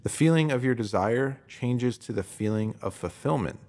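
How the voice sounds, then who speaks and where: A man narrating a scripted self-help text in an instructive, measured way, posing emphatic rhetorical questions. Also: mid-range voice, animated, a little mellow. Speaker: narrator reading a written post.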